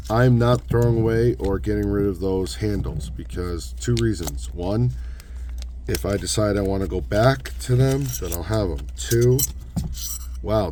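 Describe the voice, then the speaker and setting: A young man with a hoarse voice from losing it, casually walking through a hands-on tutorial in a relaxed, rambling way. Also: low voice, a little hiss or hum. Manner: relaxed, rambling, self-correcting. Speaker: knife modding channel host.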